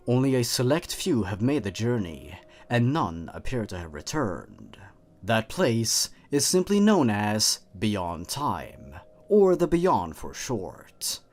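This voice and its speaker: A man narrating horror stories in a theatrical, slow and dramatic way, drawing out the ends of his sentences. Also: mid-range voice.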